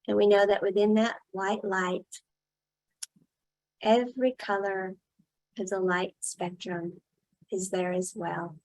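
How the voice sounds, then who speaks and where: A woman leading a guided meditation in a soothing style, speaking in short, unhurried phrases with long pauses. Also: medium pitch, level tone, a little mellow, rough recording.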